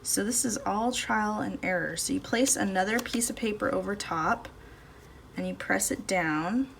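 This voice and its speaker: A woman's voice: medium pitch, normal speed, creaky, a bit bright. A woman hosting a casual painting video, chatting and thinking aloud as she works at her table, recorded on a phone in her home studio. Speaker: art channel host. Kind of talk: casual painting demo chat. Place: home art studio, indoors. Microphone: phone camera above the table, no external mic. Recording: phone audio with faint background noise. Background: faint street and household noise.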